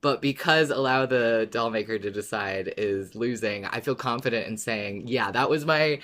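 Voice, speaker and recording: A podcast co-host chatting casually and enthusiastically about a favourite story, quick to giggle. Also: mid-range voice, a bit bright. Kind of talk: casual fan podcast discussion.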